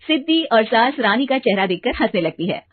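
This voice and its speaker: A woman's voice: a little high, lively intonation, fairly quick, no room sound, narrow, tinny audio. A woman storyteller narrating a scripted family tale.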